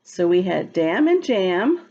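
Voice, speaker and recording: A woman reading a picture book aloud to children in a teacherly, expressive, sing-song way, explaining and asking questions as she goes. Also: on the low side, slow, muffled recording. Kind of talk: read-aloud storytime with asides to children.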